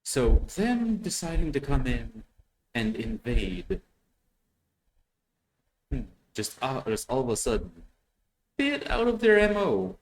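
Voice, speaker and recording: A man gives casual reaction commentary at a slow, hesitant pace, thinking aloud. His pitch is lowish but moves around a lot. There is faint noise in the background. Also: huge pitch swings, rough recording. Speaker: reactor commenting on an audio drama.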